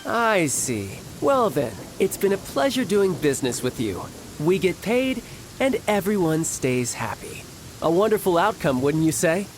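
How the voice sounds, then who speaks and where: An actor playing a smooth, playful young harbinger in a video game, breezy, polite and theatrical. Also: male voice, high voice, sing-song, measured pace, a little hiss or hum, poor audio. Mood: breezy, pleased. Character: smooth, playful young male harbinger posing as toy seller.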